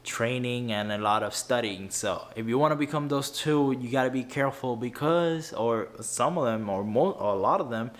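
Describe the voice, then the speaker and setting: A man's voice: mid-range voice, normal speed, hardly any pauses. A young man whose first language is Spanish, giving earnest money advice to camera, often tripping over words and restarting. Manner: earnest, stumbling with many restarts. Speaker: YouTuber. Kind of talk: unscripted finance advice to camera.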